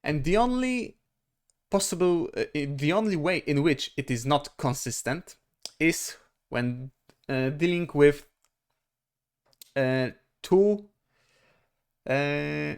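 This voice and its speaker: A man from Poland, a native Polish speaker with a Polish accent, teaching grammar informally in a halting, methodical way, asking himself short questions as he explains. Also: a little high, lively intonation, smooth. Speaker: language teacher.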